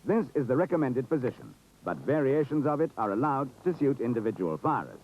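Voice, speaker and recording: A man's voice: mid-range voice, big pitch swings, a little fast, a little hiss or hum. A British man giving formal, precise, authoritative scripted narration for an instructional film. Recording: dull, muffled 1970s film soundtrack.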